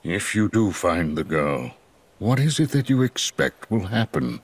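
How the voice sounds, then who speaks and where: A grave older man of science, full of remorse, for a game cutscene. The voice is extremely deep and husky with wide swings of pitch, solemn and deliberate in a dry recording. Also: big pitch swings, dry recording, heavily acted. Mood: stern. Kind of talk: dramatic video game cutscene acting.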